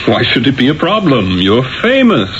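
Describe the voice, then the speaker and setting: An actor playing a proud, reflective Renaissance master painter who tells his own story in a radio drama, theatrical and deliberate. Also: medium pitch, wildly swooping pitch, noisy background. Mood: courteous, puzzled. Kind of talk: 1970s radio drama acting and narration. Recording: dull lo-fi radio broadcast recording.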